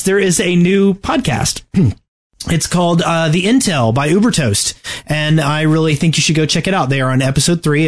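Slightly high-voiced man, casual podcast chat. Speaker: podcast co-host.

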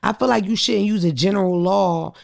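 A woman with a deep voice hosting a podcast, telling stories in a blunt, profane, animated way. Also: brisk, vocal fry. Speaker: podcast host. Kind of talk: casual chat.

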